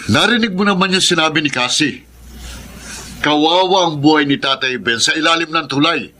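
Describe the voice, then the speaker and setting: An actor playing a reasonable, compassionate middle-aged husband in a Filipino radio drama, appealing firmly. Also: male voice, fairly high pitch, normal speed, bright, forceful tone. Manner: firm, appealing.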